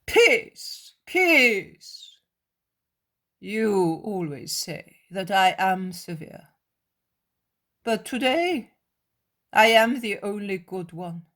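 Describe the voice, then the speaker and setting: A woman voicing a gentle male elder who speaks softly and soothingly. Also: medium pitch, full character voice. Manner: soft, soothing.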